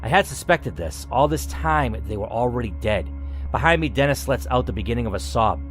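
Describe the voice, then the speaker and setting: A man's voice: medium pitch, lively intonation, fairly quick. A man reading a story game aloud as channel host, moving between dramatic narration and casual asides. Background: faint music.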